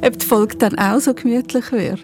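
A woman's voice: on the high side, normal speed, a little mellow. A woman chatting as she introduces a podcast, with music behind her. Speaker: podcast host and producer.

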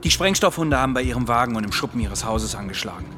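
An actor playing a dogged, controlled police detective in a radio crime drama. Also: male voice, mid-range voice, lively intonation, fast talker, over music, lightly acted. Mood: firm, accusing.

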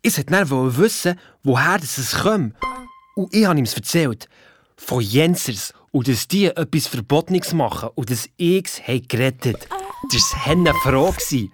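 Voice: medium pitch, big pitch swings, a little slow, breathy edge, no room sound. An actor playing Luiz's part as a young schoolchild detective, with a Swiss German accent, retelling adventures colloquially and acting out what others said. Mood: confiding. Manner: colloquial, acts out quoted speech. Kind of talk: children's radio play, colloquial first-person retelling.

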